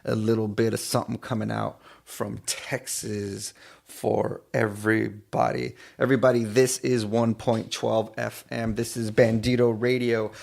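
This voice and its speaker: A man from East Los Angeles with a gravelly voice, chatting casually to listeners as a radio DJ and show host, repeating phrases as he goes. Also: on the low side, little pitch movement, measured pace, darkish tone. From East Los Angeles, California. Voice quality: gravelly, creaky voice. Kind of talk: casual radio DJ chat between songs.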